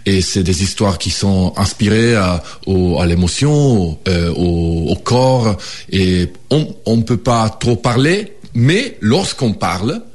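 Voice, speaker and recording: A man with a low voice and an Italian accent talks as a guest on a radio show. His manner is relaxed and easygoing, with pauses while he finds words. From Italy. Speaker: guest improv performer.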